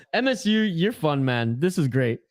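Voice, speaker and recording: A man's voice: fairly high pitch, big pitch swings, slow, no room sound. A young Canadian man hosting a live hockey watch-along, chatting casually, reading viewer comments aloud and swearing freely. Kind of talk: casual live-stream chat.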